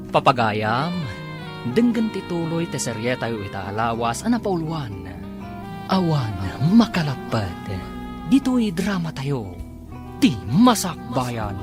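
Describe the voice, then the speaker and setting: A man with an Ilocano accent narrating a radio drama as announcer, in a theatrical, emphatic scripted style. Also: medium pitch.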